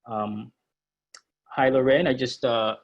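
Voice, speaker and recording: Gravelly, hesitant man moderating a workshop. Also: mid-range voice, fairly even intonation, slow pace, lo-fi sound. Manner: hesitant, many fillers and restarts. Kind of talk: online workshop discussion.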